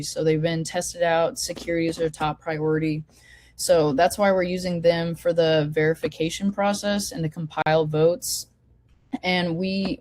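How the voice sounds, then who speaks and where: A woman with a hoarse voice from a cold, hosting an online meeting and walking attendees through a website in a casual, filler-heavy way. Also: on the low side, darkish tone, dry recording. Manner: informal, lots of fillers and restarts. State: hoarse, getting over a cold. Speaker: forum host. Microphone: video call.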